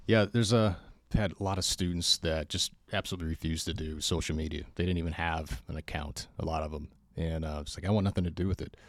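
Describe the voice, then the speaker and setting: A man hosting a casual fitness podcast, who tells stories in a relaxed, self-deprecating way. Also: rumbling low, quick pace, few pauses, no room sound, clean studio sound. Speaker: podcast host and fitness school instructor.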